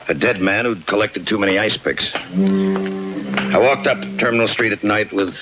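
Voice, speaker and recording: An actor playing a cynical, world-weary homicide detective in a 1950s radio crime drama, clipped, deadpan and sardonic. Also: male voice, deep voice, a little slow, rough recording. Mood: brooding, wry. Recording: 1951 radio broadcast with music behind.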